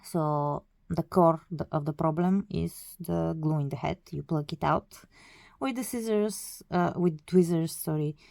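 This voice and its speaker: A woman's voice: deep voice, measured pace, vocal fry, a little mellow, no room sound, studio quality. A woman whose first language is not English, explaining a hobby process in a casual, hesitant way with many fillers and self-corrections. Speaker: doll collector. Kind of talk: casual tutorial.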